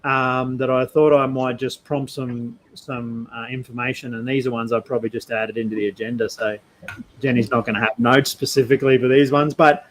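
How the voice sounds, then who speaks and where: A man with an Australian accent and a mid-pitched voice hosts a relaxed interview. He feels his way through questions in a halting, discursive manner, turning reflective as he goes. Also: low-quality recording. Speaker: podcast host. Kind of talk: casual conversational interviewing.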